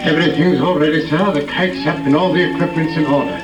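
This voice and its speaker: A man plays a brisk, obedient assistant in a 1930s radio play. The voice is fairly high and fast, on a crackly record heard echoing from afar. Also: steady tone, music in the background, close to an everyday voice. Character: brisk, dutiful male assistant reporting to superior. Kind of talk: 1930s radio drama acting. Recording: crackly 1930s record, echoey distant playback.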